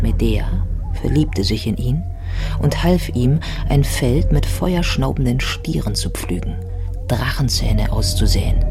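A narrator reading scripted literary radio narration in a measured, reflective manner. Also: medium pitch, lightly acted. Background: music.

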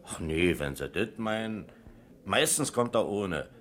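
An actor playing a down-to-earth, long-serving pub landlord in a German radio crime drama, colloquial and emphatic, with a Berlin accent. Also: male voice, sits low, expressive, a little slow, fairly bright tone, a little hiss or hum.

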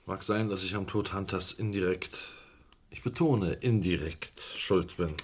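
An actor playing a testy, defensive murder suspect in a vintage radio crime play, emphatic and indignant. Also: male voice, deep voice, measured pace, slight background noise. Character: testy, defensive male blackmail victim and suspect.

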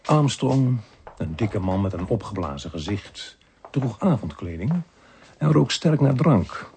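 A man's voice: on the low side, big pitch swings, a little slow, creaky, a little mellow, a little hiss or hum, heavily acted. An actor playing a dry, wry police inspector who narrates his case in a radio drama. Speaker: narrator and lead character.

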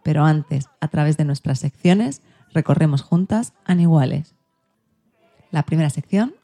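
A woman hosts a podcast. Her voice is very dark and mellow, with none of the sharp edge of a bright announcer, and she delivers prepared introductions. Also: low voice, a little slow, faint music behind. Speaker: podcast host. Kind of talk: scripted podcast presentation.

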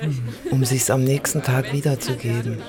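A man's voice: mid-range voice, level tone, normal speed, natural voice. An actor playing a blunt, reassuring male friend in a naturalistic radio drama, matter-of-fact and wry, repeating himself for emphasis. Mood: wry, exasperated. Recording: busy crowd chatter around voices.

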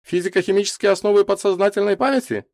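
A man voicing a brisk, fussy old professor in an audiobook story, excitable and full of hurried questions. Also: high voice, dry recording, clean studio sound, pitched above the performer's own voice. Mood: curious. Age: older. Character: thick-moustached stocky old professor, brisk, fussy meteorite expert.

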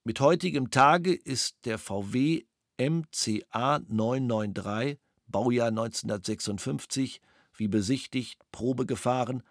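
An actor reading the witness statement of a former soldier and gunsmith, sober and matter-of-fact. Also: male voice, mid-range voice, measured pace, no room sound, studio quality, close to an everyday voice. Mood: flat, factual. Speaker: reader of interrogation protocols.

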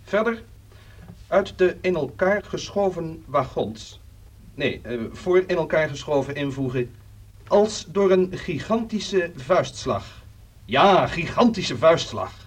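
An actor playing a pushy, brusque newspaper reporter in a radio play, dictating a story over the phone. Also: male voice, on the high side, animated, takes it slowly, husky, recorded from a distance, exaggerated voice.

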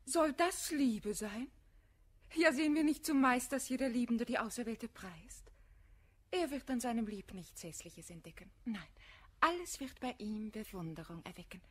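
An actress playing an eloquent, amused society woman, reciting rhymed verse with playful irony and a breathy edge in a German radio play. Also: fairly high pitch, lively intonation, normal speed, fairly bright tone. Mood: amused, ironic. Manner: articulate, playfully ironic recitation.

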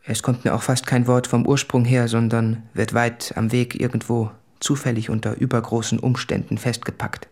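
An actor playing an anguished, self-tormenting suitor in an earnest, deliberate literary monologue for radio drama. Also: male voice, mid-range voice, little pitch movement, darkish tone, lightly acted. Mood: confessional.

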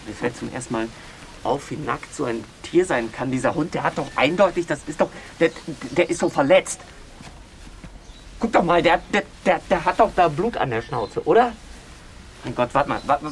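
A halting, nervous aid worker, noisy surroundings. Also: male voice, a little high. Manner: halting, stammering, repeats himself. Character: nervous, agitated aid worker in an epidemic village. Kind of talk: naturalistic radio drama acting.

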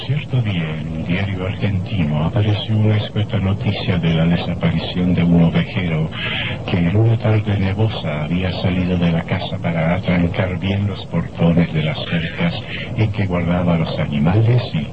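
A man's voice: sits low, moderate pace, very noisy, close to an everyday voice. A man playing a sinister, gloating narrator of macabre radio horror tales, theatrical and ominous. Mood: grave, ominous. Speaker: narrator and horror show host. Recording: dull lo-fi old radio recording, loud music. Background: loud dramatic music.

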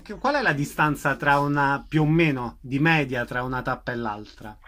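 A man's voice: mid-range voice, normal speed, pushed and bright. A man conducting a conversational interview as the interviewer.

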